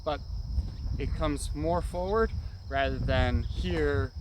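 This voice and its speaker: Guy teaching martial arts moves, takes it really slow, clipped phrases, lots of background noise like outside with bugs. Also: on the high side. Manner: short clipped phrases with frequent check-in tags. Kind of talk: hands-on technique demonstration, instructional.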